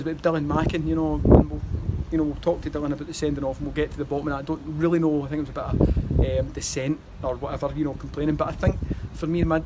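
A man's voice: a little high, very noisy. A Scottish man in his thirties, a football manager giving a post-match interview outdoors, talking in rambling runs with fillers and restarts. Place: outdoors by a stadium wall.

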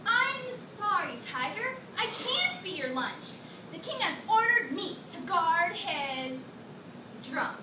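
A woman playing a small, quick-witted, tricky female mouse deer in children's readers theatre, with exaggerated mock innocence. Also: very high-pitched, big pitch swings, heavily acted. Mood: apologetic, sly. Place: classroom, indoors. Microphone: distant camera. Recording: echoey classroom from far, noisy.

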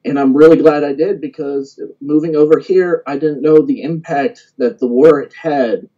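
Male American caller, higher-than-average pitch, informal, muffled lo-fi internet call audio. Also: fairly even intonation, moderate pace. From United States. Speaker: call-in guest. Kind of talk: casual chat. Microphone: remote internet audio call. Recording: dull, band-limited internet call audio.

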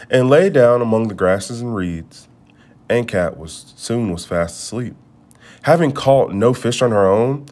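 Expressive man reading a storybook aloud. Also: medium pitch. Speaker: storybook reader. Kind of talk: read-aloud storybook narration.

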